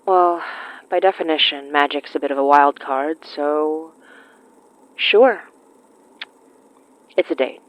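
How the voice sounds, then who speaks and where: An actress playing a young woman with magic in a horror audio drama, polite and quietly determined, speaking in a hesitant, dryly teasing way. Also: deep voice, slow pace, long pauses. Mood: wry, shy. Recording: slightly dull, dry studio sound.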